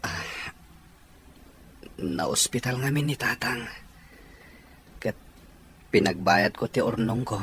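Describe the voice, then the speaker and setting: A man's voice: mid-range voice, slow, pauses often, a bit bright, a little hiss or hum. A man playing a dutiful, self-conscious young boyfriend and son in an audio drama, speaking hesitantly and apologetically. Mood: ashamed, apologetic.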